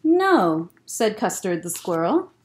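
A woman's voice: medium pitch, big pitch swings, slow. A young woman hosting a children's story time, reading a picture book aloud expressively with casual asides. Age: young adult. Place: library room lined with bookshelves, indoors.

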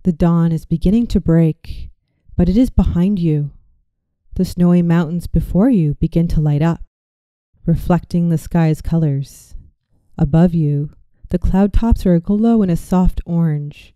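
A woman leads a guided visualization in a very warm, relaxed, mellow voice that sits a little low. Her delivery is gentle and measured, like a soothing meditation guide reading a script. The sound is dry, with little room around it. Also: no echo.

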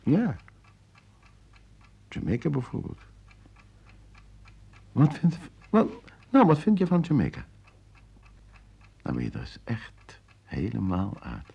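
A man playing an uneasy, evasive homebody husband in a Dutch radio play, speaking haltingly. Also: mid-range voice, wildly swooping pitch, creaky, slightly rough, lo-fi sound, heavily acted. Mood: persuading. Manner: hesitant, halting. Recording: noisy old radio play recording.

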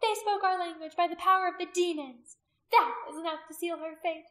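A very high, loud, piercing female voice for a zealous pagan high priestess. She declaims in imperious, accusing phrases, full of venom, as in a dramatic audiobook. The sound is roomy. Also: brisk. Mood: venomous, accusing. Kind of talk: dramatic audiobook character acting.

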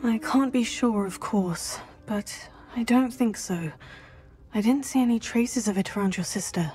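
An actress playing a calm, thoughtful young female witch student who explains things in a measured, sometimes hushed way. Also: fairly high pitch, slightly rough. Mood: explaining. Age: young adult. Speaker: player character in a video game. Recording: game dialogue with soft music underneath.

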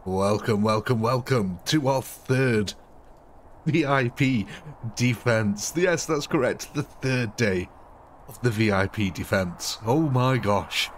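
Brief: male streamer with a cold, sniffly, chatty and upbeat, clear hiss behind the voice. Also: mid-range voice, slow pace. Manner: cheerful, chatty, laughing often. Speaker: livestream gaming host. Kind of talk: casual live commentary.

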